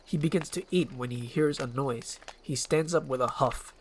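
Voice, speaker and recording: A woman's voice: very deep voice, normal speed, a little mellow, slight background noise. A woman reading scripted stage directions as the narrator of a screenplay. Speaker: narrator and voice performer.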